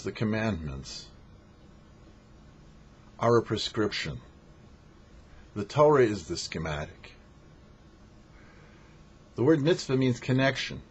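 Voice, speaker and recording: A man speaking as a rabbi giving a short religious lesson, teaching in an emphatic, didactic way with rhetorical questions. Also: mid-range voice. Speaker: rabbi hosting a weekly Torah portion show. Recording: dull lo-fi audio with faint noise.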